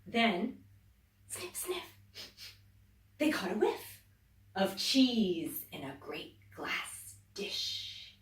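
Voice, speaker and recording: A woman teacher reading a children's story aloud, expressive, dropping to whispers and rising to loud exclaims. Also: mid-range voice, extremely slow, pauses often. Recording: echoey room, distant mic.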